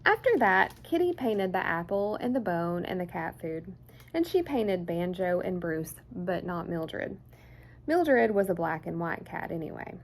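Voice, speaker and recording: A woman reading a children's picture book aloud in an expressive, deliberate storyteller's way. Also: on the low side, a little hiss or hum. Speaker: children's story time host.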